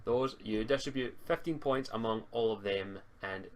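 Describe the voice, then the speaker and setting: A man's voice: fairly low pitch, normal speed, slight background noise. A man acting as game master, explaining tabletop game rules over an online call, often stammering and restarting his words.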